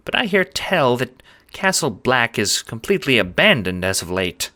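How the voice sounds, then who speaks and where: A man voicing a small, timid, squeaky-voiced shelled farmer creature in a read-aloud story. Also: big pitch swings, normal speed, sharp tone. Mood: glum.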